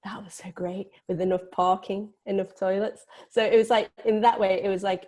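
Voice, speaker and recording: A woman's voice: mid-range voice, little pitch movement, normal speed, a little mellow. A woman speaking as a film producer in a casual panel interview, in an informal, loosely phrased way. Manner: informal, hedging with frequent "like".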